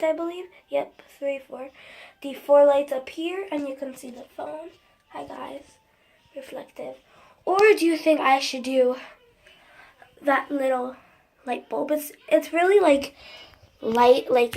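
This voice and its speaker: High-voiced girl with a stuffy cold. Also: a little mellow, slight background noise. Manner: rambling, many likes and restarts. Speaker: vlogger. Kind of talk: casual chat to camera. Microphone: phone.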